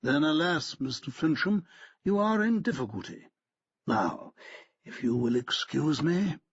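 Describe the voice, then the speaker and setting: A man's voice: on the high side, lively intonation, unhurried, breathy edge, dry recording, dull audio, pitched above the performer's own voice, full character voice. A man voicing a pompous, stiff middle-aged barrister who talks in a haughty, clipped, precise way.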